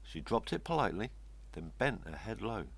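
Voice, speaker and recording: An older man with a gravelly, husky voice reading a rhyming children's story expressively. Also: on the low side, very animated, moderate pace. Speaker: story reader. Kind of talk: expressive read-aloud children's storytelling in rhyme.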